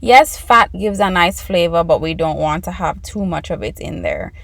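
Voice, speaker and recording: A woman's voice: on the low side, big pitch swings, moderate pace, gravelly, no echo. A woman with a Jamaican accent hosting a casual cooking tutorial in her home kitchen, chatting and explaining each step to her viewers. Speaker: cooking channel host.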